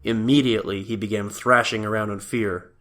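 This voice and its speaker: A man's voice: on the low side, steady tone, a little fast, fairly bright tone. A man reading scripted story narration for an audio drama.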